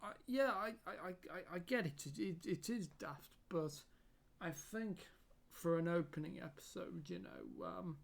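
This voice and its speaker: A man's voice: high voice, unhurried, creaky. A man giving a casual spoken review, hesitant with many fillers and repeated words, losing his voice. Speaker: YouTube reviewer.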